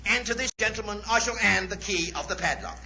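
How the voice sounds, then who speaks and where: Showy male stage magician, florid and courtly, high-pitched, echoing through an old noisy recording. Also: expressive, fairly quick, bright, forceful tone, exaggerated voice. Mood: showy, commanding. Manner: florid, theatrical, formal patter with hesitations. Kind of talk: 1940s radio drama acting. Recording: noisy, muffled 1940s radio recording, echoey stage.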